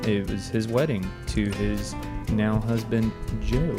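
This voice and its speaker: A man's voice: on the low side, fairly even intonation, slow, music in the background. A man in his twenties co-hosting a podcast, recounting a trip in a playful, jokey casual chat with casual swearing. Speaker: podcast co-host.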